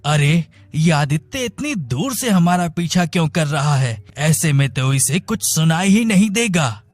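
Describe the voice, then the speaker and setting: A man voicing an earnest, jealous young husband in an animated moral story, with dramatic delivery. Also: fairly high pitch, measured pace, dry recording, faint music behind. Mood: suspicious.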